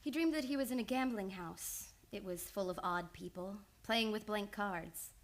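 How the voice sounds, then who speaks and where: An actress playing a composed, determined and caring psychoanalyst, earnest and theatrical in an old-time radio play. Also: female voice, mid-range voice, moderate pace, close to an everyday voice. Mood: probing. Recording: studio radio play with music behind.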